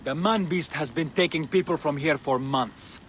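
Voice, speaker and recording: An actor playing an embittered, suspicious ancient Egyptian villager in a video game, scornful and agitated. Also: male voice, a little high, moderate pace, fairly bright tone, slight background noise, natural voice. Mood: bitter, scornful.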